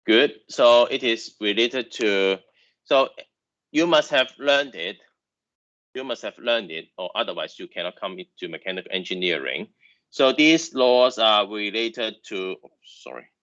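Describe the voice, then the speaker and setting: A man's voice: lowish voice, animated, measured pace, a bit bright, lo-fi sound. A man whose first language is Chinese, lecturing online about engineering, who halts and repeats words with many fillers.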